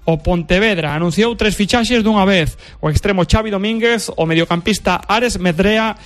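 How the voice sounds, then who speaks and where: A man reads sports news on the radio. His voice sits high for a man. He delivers the bulletin in a steady, scripted rhythm. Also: normal speed. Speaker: sports newsreader.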